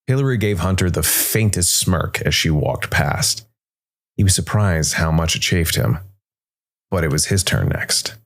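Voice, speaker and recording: A man narrating a scripted dark fantasy story in a measured, deliberate way. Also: low voice, animated. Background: music.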